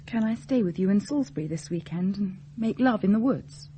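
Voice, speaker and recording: A woman's voice: mid-range voice, animated, a little fast, warm tone, a little hiss or hum, close to an everyday voice. An actress playing a nice young woman in love in a radio play, demure and questioning, timid yet frank. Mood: shy, eager. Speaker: actor. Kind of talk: radio drama acting.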